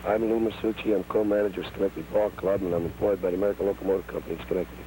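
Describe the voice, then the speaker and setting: Very fast, low-voiced man, old newsreel. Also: level tone, mellow, poor audio. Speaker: team co-manager. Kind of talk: stiff self-introduction to camera. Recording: old newsreel sound with faint hum.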